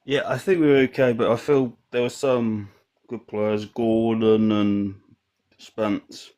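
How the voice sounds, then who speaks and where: A man's voice: fairly low pitch, little pitch movement, slow pace, dark tone, smooth. A man on a football phone-in who hesitates, trails off and restarts his sentences. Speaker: caller. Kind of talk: casual football chat.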